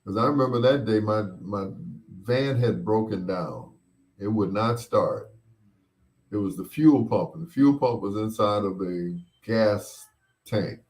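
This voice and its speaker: Middle aged guy w/ a lowish voice, really slow talker, rambles thru a casual chat show with lots of pauses. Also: a little mellow. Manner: unhurried, rambling, with pauses and repeats. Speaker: host of a bedtime stories show. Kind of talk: casual chat, reminiscing and replying to listener comments.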